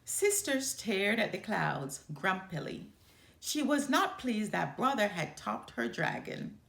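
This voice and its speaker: A woman storyteller reading a children's picture book aloud in an expressive, deliberate way. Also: medium pitch, creaky, roomy sound. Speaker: storyteller, channel host.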